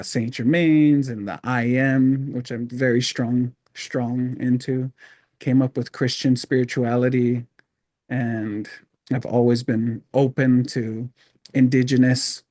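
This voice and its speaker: A man's voice: mid-range voice, no echo. Rambling man from Hawaii, dry sound. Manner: relaxed, rambling, many fillers. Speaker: podcast guest. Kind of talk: casual storytelling interview.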